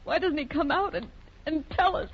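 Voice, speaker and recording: A woman playing an anxious, distraught wife with a halting, despairing delivery in a 1930s radio drama. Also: high voice, animated. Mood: worried, pleading. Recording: noisy, muffled 1930s radio recording.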